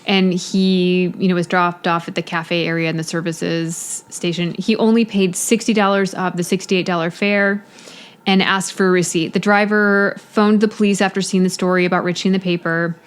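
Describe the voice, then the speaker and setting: A woman's voice: on the low side, flat delivery, normal speed, clean tone. A woman co-hosting a podcast, telling a case in casual chat with a lot of "you know" fillers. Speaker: podcast co-host.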